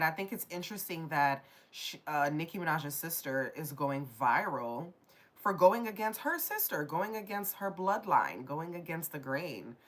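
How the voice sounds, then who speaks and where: A low-voiced woman with a stuffy, nasal sound as she gets over a cold. She rambles casually and talks straight to her viewers during a live tarot stream. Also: a bit bright. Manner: chatty, rambling, addresses viewers directly.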